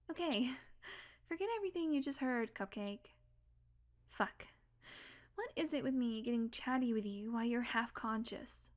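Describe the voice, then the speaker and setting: Sardonic female vampire , played by an actress, higher-pitched, intimate and wry, brash but secretly tender, for audio roleplay. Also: lightly acted. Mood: grumbling, defensive, then wry, confiding. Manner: casual, confiding, wry, swearing, drifting into whispers.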